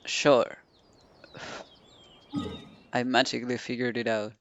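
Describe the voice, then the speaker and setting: A woman streaming a game, giving casual, low-key commentary in halting, muttered asides. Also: level tone, background noise. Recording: faint game music behind the voice.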